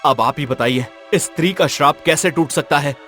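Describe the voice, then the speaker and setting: A man voicing a brave, determined young prince in an animated fairy tale, earnest and dramatic. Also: a little high, a little fast, faint music behind, close to an everyday voice. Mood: earnest questioning.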